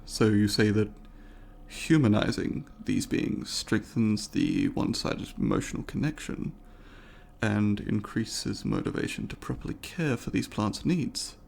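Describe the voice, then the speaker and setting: A man voicing a curious, courteous alien researcher in an ASMR roleplay, speaking formally and deliberately, with academic wording and a gravelly voice. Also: fairly low pitch, very animated, a little mellow, slight background noise, exaggerated voice. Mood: earnest, puzzled. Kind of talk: ASMR roleplay, scripted monologue to the listener.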